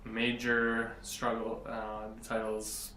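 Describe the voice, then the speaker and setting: A man in his late twenties, answering interview questions in an earnest, rambling way, often pausing to search for words. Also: medium pitch, completely flat delivery, bright, forceful tone. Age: 20s. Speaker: interviewee, blogger. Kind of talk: casual interview. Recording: echoey room from a distance, faint noise.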